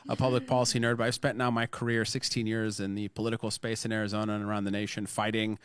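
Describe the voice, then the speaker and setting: A man in his thirties, born in Lebanon, a political strategist and pollster answering interview questions in an earnest, steady way. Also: on the low side, fast talker, smooth, no echo. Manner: earnest, steady storytelling with fillers.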